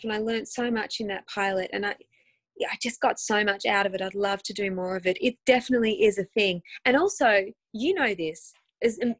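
An Australian woman in her thirties talks casually over a phone video call, rambling with ums and quick restarts. Her voice sits at a middle pitch, and the sound is dry and close with no room echo. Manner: chatty, animated, lots of ums and restarts. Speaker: guest actress. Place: home room, indoors.

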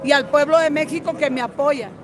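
A woman's voice: high-pitched, fairly even intonation, a little fast. An older woman from Guatemala speaking as a political activist in an impassioned, combative and salty-tongued street interview. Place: busy city street outdoors. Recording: outdoor street crowd noise, music behind.

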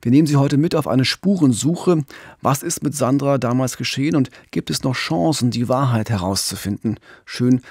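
Man, mid-pitched, middle-aged or older, earnest storyteller, podcast host, dry recording. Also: moderate pace, darkish tone, breathy edge, no room sound. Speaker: podcast co-host, TV crime reporter. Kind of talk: true-crime podcast storytelling.

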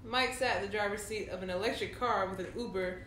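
A woman's voice: medium pitch, fairly even intonation, fast, a bit bright. A woman narrating an informal table read, reading stage directions aloud. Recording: echoey room, faint noise.

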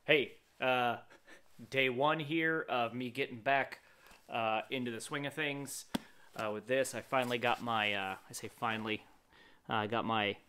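A man in his forties talking casually and haltingly in a vlog, thinking aloud as he goes. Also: mid-range voice, fairly bright tone, clear, resonant tone. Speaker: fitness vlogger. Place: wooden garage with the door open.

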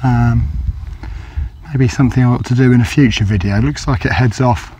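Croaky man hiking outdoors. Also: medium pitch, normal speed, dark tone. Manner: rambling, hesitant with many fillers. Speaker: walking vlogger. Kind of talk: casual hiking commentary to camera. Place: outdoors on open grassy hillside. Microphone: handheld camera held by the walker.

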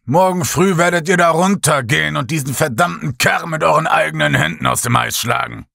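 A man's voice: a little high, brisk, creaky, sharp tone, no room sound, studio quality, voice pushed up, full character voice. A man voicing a domineering bearded ship captain who bellows threats at his crew. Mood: bullying, boisterous.

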